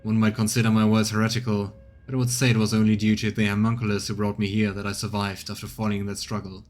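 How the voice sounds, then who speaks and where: A man voicing a proud, stubborn interrogator in a game, weakened by torture, speaking formally and haltingly in a strained, bitter way. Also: lowish voice, flat delivery, darkish tone, soft background music, lightly acted. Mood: grim, weary. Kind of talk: acted reading of game dialogue.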